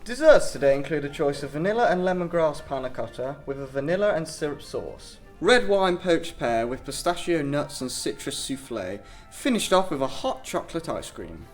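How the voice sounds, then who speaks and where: A man's voice: on the high side, sing-song, moderate pace, few pauses, soft background music. A man acting an obliging, formal butler in a radio drama, speaking in a pompous, servile, florid manner. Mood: courteous.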